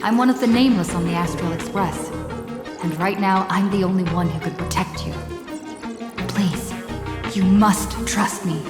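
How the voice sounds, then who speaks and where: A woman's voice: medium pitch, moderate pace, close to an everyday voice. An actress playing an earnest young woman traveller in a video game, conversational and persuasive. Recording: echoey hall reverb, music behind.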